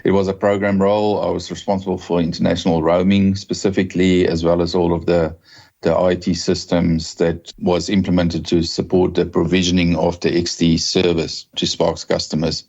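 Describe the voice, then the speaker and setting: A South African man in his fifties, a telecom executive giving a measured interview with small restarts. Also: low voice, little pitch movement, vocal fry, a little mellow, highs cut off. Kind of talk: podcast interview.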